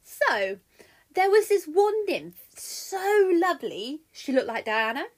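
A woman's voice: very high-pitched, big pitch swings, takes it slowly, pitched above the performer's own voice, exaggerated voice. A British woman voicing a glib male messenger god who spins a tale casually and theatrically in a comic myth. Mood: grinning, glib.

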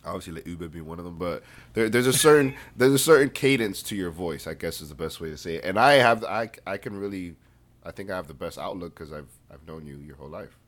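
Deep-voiced man laughing through a story. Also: fast. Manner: laughs often, swears, animated retelling. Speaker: podcast co-host. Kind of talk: casual chat.

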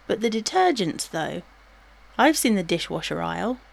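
A woman's voice: medium pitch, big pitch swings, moderate pace, vocal fry, exaggerated voice. An actress playing a self-doubting wife and new mother in a domestic audio drama, hesitant and questioning. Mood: warily considering.

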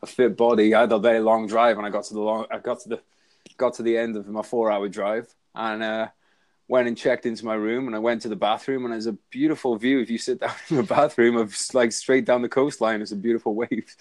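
A Welsh man guesting on a podcast over a remote call, talking in a relaxed, self-deprecating way with halting restarts. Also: fairly low pitch. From Wales. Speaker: guest, soldier and author. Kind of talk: casual interview chat.

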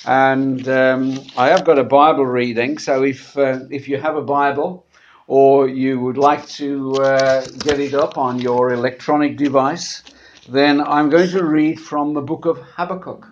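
A man preaching in church, his voice slightly gravelly and husky from a sore throat, speaking in a conversational sermon style. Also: medium pitch, level tone, a little hiss or hum. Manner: halting, conversational, often repeating words. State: sore throat after a medical procedure. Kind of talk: church sermon with scripture reading. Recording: dull, muffled church recording.

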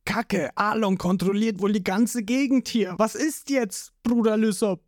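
A man's voice: high voice, unhurried, no echo, voice pushed up. Man playing a short-tempered young swordsman, barking and irritable, close dry sound. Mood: irritated. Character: gruff, grumpy young male swordsman.

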